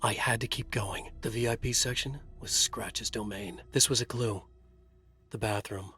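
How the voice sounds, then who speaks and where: A man's voice: fairly low pitch, fairly even intonation, moderate pace, bright, forceful tone, soft background music. A man playing a haunted writer in a video game, narrating his nightmare in terse, brooding, dramatic lines. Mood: uneasy, brooding. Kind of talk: scripted noir-style game narration.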